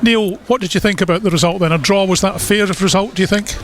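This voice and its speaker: A man's voice: high voice, fast talker, faint music behind. A man working as a rugby reporter, putting questions in a post-match radio interview. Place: outdoors at a rugby ground. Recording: outdoor noise with traffic behind.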